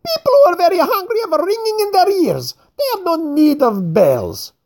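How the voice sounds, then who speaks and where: A reader voicing a fat, excitable middle-aged man who speaks in a shrill, whiny, overwrought way. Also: normal speed, husky, full character voice. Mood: scornful.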